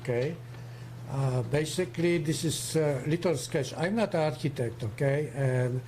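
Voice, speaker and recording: A man in his seventies from Poland with a strong Polish accent, speaking haltingly on a casual livestream as co-host. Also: a little high, a little mellow, a little hiss or hum. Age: 70s. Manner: halting, searching for English words.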